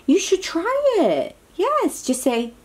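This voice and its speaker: Slow, animated woman reading to young children. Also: very high-pitched. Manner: encouraging, exclaiming, asks the listener questions. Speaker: children's picture book reader. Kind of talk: read-aloud storytime for young children, with chatty asides.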